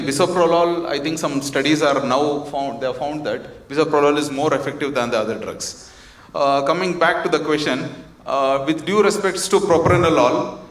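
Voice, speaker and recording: A man's voice: on the high side, a bit bright. A man speaking as a cardiologist on a medical panel, confident and polite as he explains, on a conference stage with a handheld mic. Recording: echoey conference hall.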